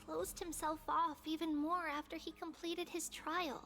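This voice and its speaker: An actress playing a gentle, thoughtful young woman in a game, speaking in a soft, measured way. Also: extremely high pitch, level tone, slight background noise, full character voice. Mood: somber.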